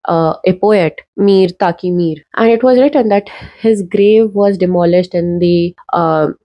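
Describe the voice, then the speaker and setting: A young Indian woman talks straight to the camera with a mellow, relaxed tone and a slightly low voice. Her Indian English accent stays steady as she pleads and persuades, pausing on "uh" and "um" between points. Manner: earnest, persuasive, many fillers. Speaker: video essayist. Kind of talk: earnest casual video essay. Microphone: talking to camera.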